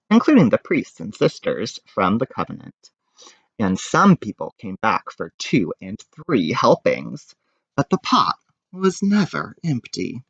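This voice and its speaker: Animated man reading a children's picture book. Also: mid-range voice, no echo, highs cut off. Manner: expressive, informal asides on the pictures. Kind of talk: animated children's read-aloud.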